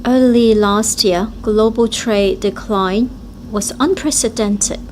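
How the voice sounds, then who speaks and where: A woman interpreting speeches live at a forum, speaking in short, halting phrases. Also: fairly high pitch, steady tone, a little mellow, slight background noise.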